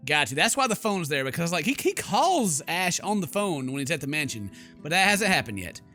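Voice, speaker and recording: Bright-voiced, playful male gamer. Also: on the high side, sing-song, fairly quick, faint music behind. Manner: playful, sarcastic, exclamatory. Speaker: gaming YouTuber, let's player. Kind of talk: casual joking game commentary.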